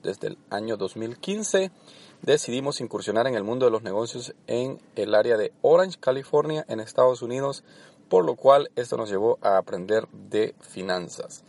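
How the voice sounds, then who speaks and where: A man's voice: mid-range voice, a little mellow. A man from El Salvador hosting a personal finance podcast, speaking in an earnest, coaching manner with frequent fillers. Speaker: podcast host. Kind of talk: motivational podcast talk.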